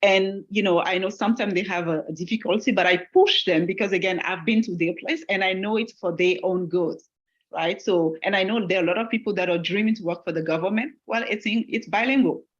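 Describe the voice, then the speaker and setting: A woman's voice: medium pitch, brisk, muffled recording. A woman whose first language is French, an accounting instructor answering webinar questions in an earnest, encouraging way.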